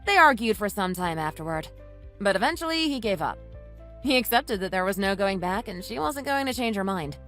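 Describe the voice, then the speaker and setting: Woman narrating a story, lively intonation. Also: medium pitch, brisk, faint music behind. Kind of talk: scripted narration.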